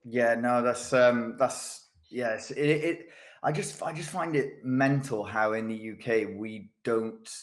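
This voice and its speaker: A man's voice: mid-range voice, takes it slowly. A man hosting a casual podcast interview, talking in a chatty, rambling way with lots of fillers and restarts. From United Kingdom. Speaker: podcast host.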